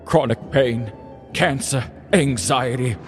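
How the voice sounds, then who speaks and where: A man voicing a kindly old wizard in a comedic fantasy parody, with grand, theatrical, drawn-out phrasing. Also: mid-range voice. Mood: weary. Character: kindly old male wizard, weed dealer, grandly earnest. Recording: music playing behind.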